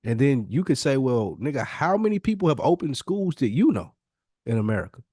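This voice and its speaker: A man's voice: medium pitch, very animated, a little fast, mellow, breathy edge, no echo. Guy on a podcast arguing his point, slangy, cusses, repeats stuff for emphasis, dry close sound. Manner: slangy, profane. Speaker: podcast speaker. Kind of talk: opinionated casual conversation.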